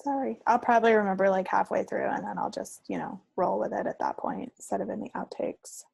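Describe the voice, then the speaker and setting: A woman's voice: medium pitch, fairly even intonation, a little fast, creaky, darkish tone, slightly rough, no room sound. A woman co-hosting a podcast in casual chat, talking in a rambling, jokey way. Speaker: podcast co-host.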